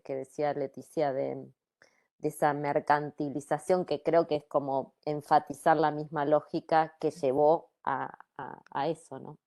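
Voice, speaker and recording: A woman with a low voice, making a comment from the audience after an academic talk. She speaks softly and haltingly, with many fillers and repeated words. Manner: hesitant, soft-spoken, many fillers.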